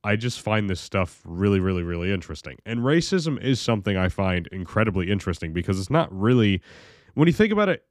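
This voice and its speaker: Deep-voiced young man rambling casually. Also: big pitch swings, fast, dry recording, clean studio sound. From United States. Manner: rambling, lots of fillers and restarts, swears casually. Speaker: video creator. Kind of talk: casual monologue vlog.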